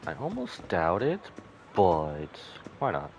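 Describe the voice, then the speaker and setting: A man's voice: low-pitched, wildly swooping pitch, slow, darkish tone, slight background noise. A man streaming a game live, casually commenting, reading dialogue aloud and muttering asides to himself.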